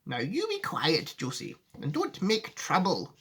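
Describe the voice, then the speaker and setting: A woman voicing a loyal, gently scolding old grandfather in an acted storybook reading. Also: mid-range voice, sing-song, unhurried, a little hiss or hum, pitched above the performer's own voice. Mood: hushed scolding.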